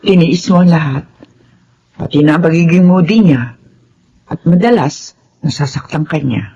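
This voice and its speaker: An actress playing a sorrowful, apologetic rich mother and employer with a measured, earnest manner in a Filipino radio drama. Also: deep voice, mellow, breathy edge, heavily acted. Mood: remorseful. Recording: dull, muffled audio.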